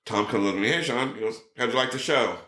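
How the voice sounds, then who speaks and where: A middle-aged man telling a story in casual chat, acting out quotes with a raised voice. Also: quick pace. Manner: animated, acts out quotes with raised pitch. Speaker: podcast guest. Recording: roomy echo.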